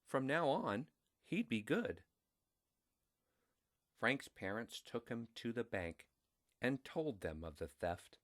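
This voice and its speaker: A man reading a children's story aloud in a deliberate, expressive way. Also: medium pitch.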